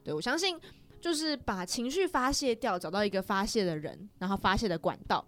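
Fast-talking Taiwanese woman hosting a chat. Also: mid-range voice, animated. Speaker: podcast host. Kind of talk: casual chat.

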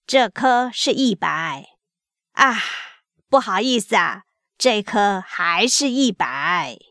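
A man's voice: high-pitched, expressive, takes it slowly, vocal fry, sharp tone, dry recording, clean studio sound, exaggerated voice. Woman acting a boastful, disapproving father. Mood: smugly boastful.

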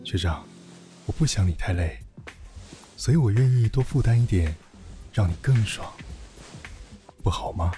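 A man playing a stoic, devoted, quietly teasing younger police partner, deadpan and softly spoken, in an audio drama. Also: lowish voice, normal speed, long pauses, soft background music, close to an everyday voice. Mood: tender coaxing. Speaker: voice actor.

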